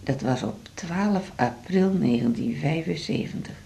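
Dark-voiced anxious older woman patient. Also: deep voice, lively intonation, a little slow, vocal fry, exaggerated voice. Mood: wry, resigned. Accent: Netherlands Dutch. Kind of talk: radio drama acting.

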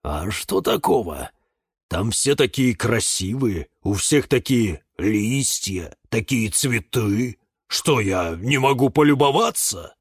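Storybook fern, played by a man: bashful dreamer, mild, very slow delivery, dry close sound. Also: mid-range voice, expressive, breathy edge, no room sound, exaggerated voice. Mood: sheepish. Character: bashful, dreamy male fern, mildly defensive. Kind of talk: children's story character voice.

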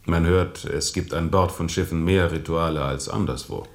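A cool interrogator in a radio drama, with a low-pitched voice. He is formal and probing, pressing on insistently with his questions. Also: normal speed. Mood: coolly probing. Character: cool, persistent male interrogator questioning a captain. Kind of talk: German radio play acting.

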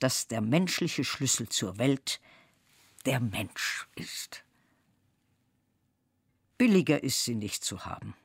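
A reader giving a slow, measured literary reading with dramatic pauses. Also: mid-range voice, husky, dry recording, clean studio sound.

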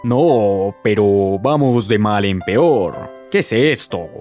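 A man's voice: fairly low pitch, expressive, slow pace, darkish tone, soft background music. A man playing a stern, exasperated narrator who formally scolds his own radio soap. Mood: indignant.

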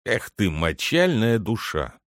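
A commanding head butler performed by a man reading a story questions and orders servants about. He is brusque and condescending, with very lively intonation. The recording is dry and close. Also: fairly low pitch, slow pace, no echo, exaggerated voice. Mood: impatient. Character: impatient, bossy male head butler, scheming and condescending. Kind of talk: dramatic literary audiobook character reading.

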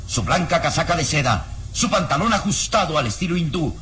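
A man's voice: a little high, lively intonation, brisk, a bit bright, a little hiss or hum. A man performing as a solemn storyteller, giving dramatic scripted narration for an old radio mystery serial. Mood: solemn, atmospheric. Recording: old radio recording with music underneath.

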